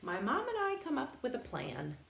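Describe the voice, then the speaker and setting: A woman reading a picture book aloud in a playful, expressive way, pausing to put questions directly to young children. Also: sits high, gravelly. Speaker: storytime reader for young children. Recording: roomy echo, faint background noise.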